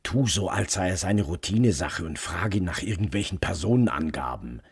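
A low, husky man plays a police detective in a crime radio play. He is insistent yet a little awkward, with lively swings in his intonation. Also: moderate pace, heavily acted. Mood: brisk, insistent.

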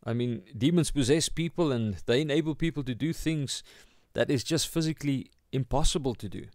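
A man with a South African accent giving an informal sermon as a pastor, halting as he searches for words. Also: mid-range voice, darkish tone, no room sound, studio quality. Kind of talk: informal sermon, Bible teaching.

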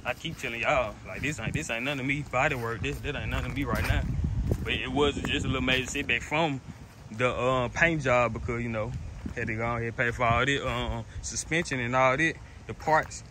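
A man's voice: medium pitch, a little fast. A nineteen-year-old man talks casually to the camera in a rambling vlog, repeating himself and restarting his sentences as he walks around outside. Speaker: car vlogger. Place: outdoors in a driveway beside a car. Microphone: phone held at arm's length. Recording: outdoor phone audio with background noise.

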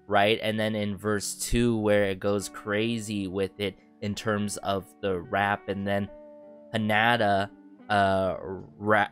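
A young man in his twenties reviewing a song in casual chat, animated and full of fillers, talking into a close boom mic in a small bedroom. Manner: animated, thinks aloud with many fillers like "you know" and "right". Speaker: music reaction channel host. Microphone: boom mic close to the face, headphones on.

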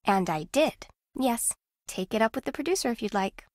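Actress as an aloof, high-voiced secretive woman. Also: expressive, moderate pace, no room sound, lightly acted. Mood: cool, dismissive. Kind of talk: video game character acting.

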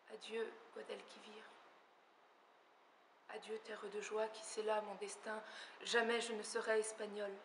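A woman's voice: medium pitch, background noise. A French woman in her thirties performing dramatic spoken-word poetry, her delivery swelling and sinking, in an empty opera house auditorium. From France. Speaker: slam poet. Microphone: far camera. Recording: roomy hall echo.

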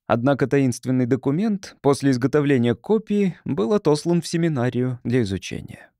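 A man's voice: medium pitch, animated, brisk, mellow, no room sound, studio quality. A man reading literary fiction as a scripted audiobook narration.

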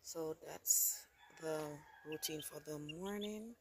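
A woman narrates a casual vlog in an informal, unhurried way over chirping chicks. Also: sits low. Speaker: vlogger. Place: chicken coop at home. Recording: noisy handheld phone audio.

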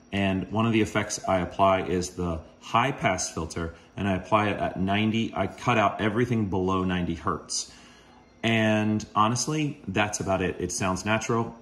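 A man in his forties, a podcast host, walking through a casual how-to at home with a low voice and a relaxed, rambling manner full of fillers. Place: home kitchen, indoors.

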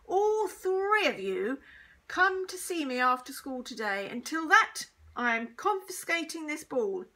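A woman's voice: high voice, big pitch swings, unhurried, sharp tone, voice pushed up, full character voice. A woman voicing a stern, short-tempered male schoolteacher in a children's story, barking and scolding emphatically. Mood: shouting, furious.

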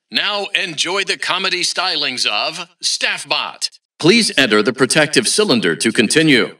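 A man's voice: mid-range voice, bright, forceful tone, recorded from a distance, low-quality recording. A man voicing a blandly cheerful automated venue announcer in a video game, with a polished, chirpy corporate delivery. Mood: showy.

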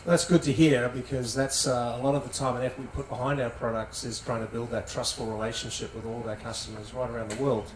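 A man, a company executive, speaking smoothly and in a practiced way on a panel in a large tent. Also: medium pitch, fairly even intonation, fast talker, few pauses. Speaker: senior vice president on a panel. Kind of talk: panel discussion. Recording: roomy sound from some distance, faint noise.

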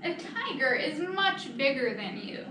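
Woman reading as a skeptical bossy storybook raccoon, drawn-out. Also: high voice, big pitch swings. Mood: doubting. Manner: doubting, emphatic. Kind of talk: picture-book read-aloud. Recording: echoey room, distant mic, noisy.

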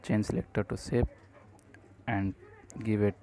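A man presenting an unscripted screencast coding tutorial in short, halting phrases. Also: lowish voice, flat delivery, dark tone.

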